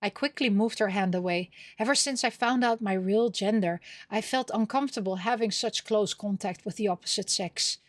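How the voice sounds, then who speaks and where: A woman's voice: medium pitch, normal speed, hardly any pauses, no echo. A woman reading a visual novel aloud as a gaming host, with casual commentary of her own.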